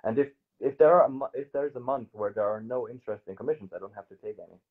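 A man's voice: medium pitch, racing, husky. A young man in his twenties with a German accent, a native German speaker from South Tyrol, talking casually as an artist guest over a video call, hesitant with many fillers. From South Tyrol, Italy. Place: small indoor room, sofa. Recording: phone-like narrow audio.

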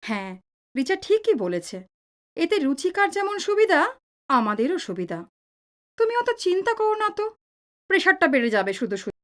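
High-voiced, animated, homely mother. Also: normal speed, pauses often. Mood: gently scolding. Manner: soothing, mildly chiding, homely. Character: caring middle-aged mother, gently scolding family peacekeeper. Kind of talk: Bengali audio drama acting.

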